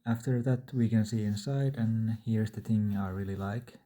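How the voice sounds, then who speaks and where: Flat, very dark-voiced man giving instructions. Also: fairly low pitch, moderate pace. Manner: plain, matter-of-fact step-by-step delivery. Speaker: repair tutorial presenter. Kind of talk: instructional DIY narration.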